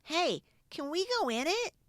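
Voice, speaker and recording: Curious, easygoing neighbor boy for a storybook, expressive and closely recorded, voiced by a woman. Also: high voice, measured pace, dry recording, pitched above the performer's own voice, heavily acted.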